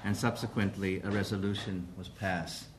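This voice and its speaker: A man giving a formal press briefing as president of a council, speaking carefully with many pauses and restarts. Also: deep voice, steady tone, noisy background.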